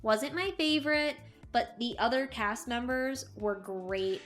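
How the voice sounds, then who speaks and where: A woman's voice: on the high side, a little slow, fairly bright tone, soft background music. A woman co-hosting a book review show, chatting casually in an enthusiastic, emphatic way.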